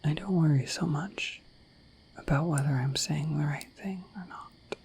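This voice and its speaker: A woman voicing a shy, affectionate dorky dragon in an ASMR roleplay, speaking in a gentle, hesitant, breathy whisper. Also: low voice, a little slow, heavily acted, low-quality recording. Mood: shy, sincere. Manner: gentle, hesitant, slightly bashful, intimate.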